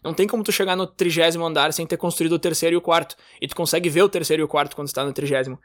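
Quick high-voiced man. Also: steady tone, a bit bright, dry recording, clean studio sound. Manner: talks in long reasoning runs with many "cara" and "sabe". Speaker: podcast co-host. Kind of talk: casual podcast chat.

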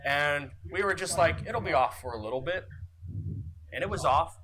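A man's voice: a little high, lively intonation, normal speed, bright, forceful tone, husky, low-quality recording. A man in his early thirties co-hosting a podcast with joking, exaggerated, profane banter. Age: early 30s. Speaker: podcast co-host. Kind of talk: casual banter.

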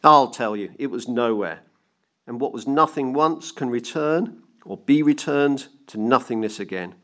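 A man's voice: medium pitch, expressive, unhurried, a bit bright. A man voicing a frenzied, domineering banker in an audiobook story, with a grandiose, barking manner. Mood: ranting. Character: frenzied, domineering male banker obsessed with defeating time. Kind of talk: dramatised audiobook reading.

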